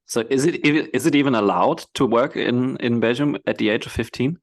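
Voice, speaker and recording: A man hosting a podcast, asking his guest questions in a relaxed, casual way with frequent laughs. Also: mid-range voice, normal speed. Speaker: podcast host. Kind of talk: casual interview chat.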